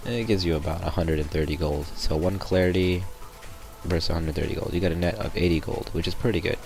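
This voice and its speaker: A young man with a raspy voice, sick, gives a rambling gameplay walkthrough in a very low register. Loud music plays behind his voice-over. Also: fairly even intonation, fast talker. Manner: rambling, many ums and restarts. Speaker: gameplay commentator. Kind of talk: casual gameplay tutorial voice-over.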